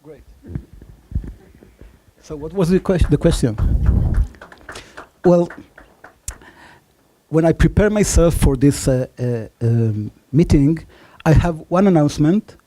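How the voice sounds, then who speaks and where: A man in his forties from Argentina with an Israeli and Spanish accent, pitching his startup on stage with many fillers and self-deprecating jokes. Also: slow, pauses often, warm tone, slight background noise, poor audio. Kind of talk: conference pitch.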